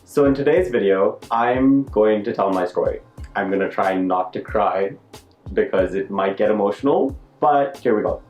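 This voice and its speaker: Low-voiced young man in an echoey room. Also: normal speed, a little mellow. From Dubai. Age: young adult. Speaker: YouTube art vlogger. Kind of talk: casual personal storytelling to camera. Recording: roomy echo, music behind.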